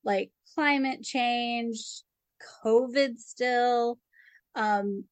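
A woman's voice: a little high, very slow, no room sound. A woman co-hosting a casual faith podcast, chatty and full of "like" fillers. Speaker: co-host. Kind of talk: casual theological discussion.

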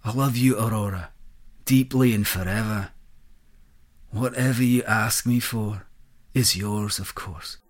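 Entranced guard, played by a man: deepish, very slow delivery, dreamy devotion, audio drama. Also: lightly acted. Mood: dreamy, adoring. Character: lovesick entranced male guard, dreamy and obedient.

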